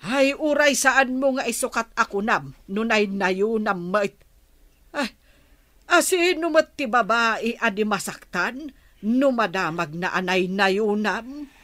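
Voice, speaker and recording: A woman's voice: on the high side, animated, slow pace, fairly bright tone, no room sound. An actress playing a moralizing mother who scolds her grown son in an Ilocano radio drama. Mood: reproachful.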